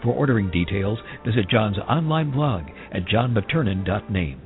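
A man with a lowish voice reads a dramatic radio ad in a grave, measured way. The sound is thin and narrow, like a phone line. Music plays clearly behind him. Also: lively intonation. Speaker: commercial voice-over announcer. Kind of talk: dramatic scripted ad narration. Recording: tinny broadcast with music behind.

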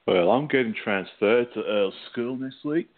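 A man's voice: mid-range voice, normal speed, close to an everyday voice. A man playing a polite, gallant teacher in a radio play, speaking courteously. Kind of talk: amateur radio play acting. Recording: thin phone-like audio.

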